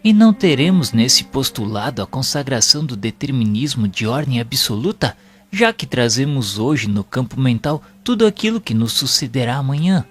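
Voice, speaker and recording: A man's voice: medium pitch, big pitch swings, moderate pace, fairly bright tone, slightly rough, soft background music. A man with a Brazilian accent playing an earnest, reverent spirit disciple in an audio novel, formal and deferential, with an inquiring manner. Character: earnest, reverent male spirit disciple narrating his lessons. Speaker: audio drama narrator. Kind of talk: dramatized scripted narration.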